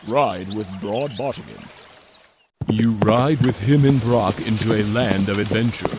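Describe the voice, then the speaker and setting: A man giving a deadpan, mock-solemn dramatic reading of a comic adventure story. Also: on the low side, unhurried, background noise. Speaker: narrator.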